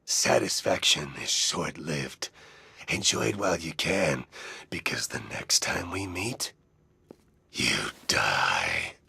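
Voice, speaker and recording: Bitter crime boss villain for a game, super raspy gravel voice, sharp and theatrical, menacing. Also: male voice, a bass voice, sing-song, slow pace, no echo, full character voice. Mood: cold threat. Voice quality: gravelly, rasping. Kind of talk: video game villain dialogue.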